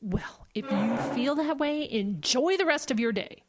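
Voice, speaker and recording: A woman's voice: on the high side, animated, a little slow, noisy background. A woman playing an offended, sarcastic co-worker in a comedic audio drama. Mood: icy, sarcastic. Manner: pointed, sarcastic.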